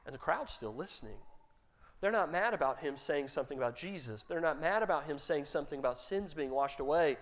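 Male preacher, higher register, animated storyteller voicing characters in his own voice. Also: brisk. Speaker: pastor. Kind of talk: sermon storytelling.

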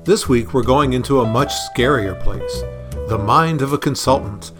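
A man's voice: mid-range voice, moderate pace, over music. A middle-aged man, a consultant and podcast host, speaking about his work on a show. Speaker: podcast host, consultant being interviewed as guest. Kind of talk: scripted podcast introduction and conversational interview answers.

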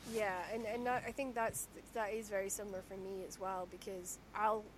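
A woman from Shetland hosting a podcast interview, chatty and self-deprecating, with a naturally squeaky voice and frequent laughter. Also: little pitch movement, moderate pace, a little hiss or hum. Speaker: podcast host. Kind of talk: casual conversational interview.

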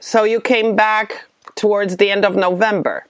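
A woman's voice: mid-range voice, fairly even intonation, normal speed, dry recording. A woman hosting a podcast interview, prompting and summarizing her guest's story. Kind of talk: interview questions.

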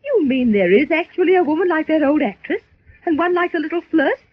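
A woman's voice: high-pitched, lively intonation, brisk. An actress playing a candid, skeptical lady companion in an old-time radio drama. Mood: incredulous. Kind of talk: 1940s radio acting. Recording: muffled old radio recording.

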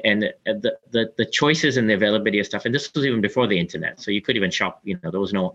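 A man's voice: sits low, sharp tone, muffled recording. A man in his forties from Kenya, answering interview questions in a reflective, chatty way with many fillers. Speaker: interview guest.

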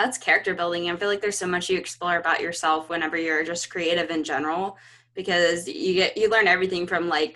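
A woman's voice: lowish voice, sharp tone. A woman interviewing a musician, talking in an encouraging, enthusiastic way. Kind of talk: casual interview.

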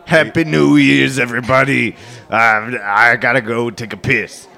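A man's voice: medium pitch, steady tone, measured pace, gravelly, pushed and bright, voice pushed up. A man playing a leering, diapered Baby New Year who stumbles through his words in an improvised live comedy bit. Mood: rowdy. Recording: music and crowd chatter behind the voice.

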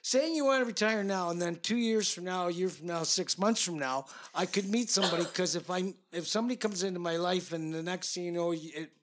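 Hesitant, fairly high-voiced man in his fifties. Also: brisk, few pauses, noisy background. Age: 50s. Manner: hesitant, pondering, many ums. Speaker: podcast co-host. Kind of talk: casual chat.

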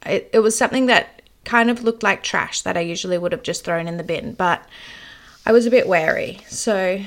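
A woman's voice: medium pitch, moderate pace. A woman who works as a dream teacher, telling a personal story to her viewers in an earnest, heartfelt way.

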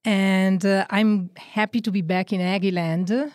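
A woman from northern Italy with an Italian accent, a religious sister talking as a radio guest, relaxed with fillers and light jokes. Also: mid-range voice, measured pace, no echo, clean studio sound. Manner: warm joking, fillers like um and uh. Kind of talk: radio interview. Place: radio studio.

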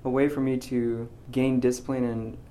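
A young man in his twenties, a graduating physics student, talking in a casual interview, soft-spoken and hesitant with many ums and restarts. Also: mid-range voice, flat delivery, normal speed. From United States. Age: 20s.

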